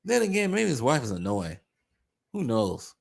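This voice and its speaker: Gravelly man ranting about basketball, voice swinging wildly. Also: medium pitch, wildly swooping pitch, moderate pace. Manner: mocking, scornful, pleading in jest. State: going hoarse. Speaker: sports commentator.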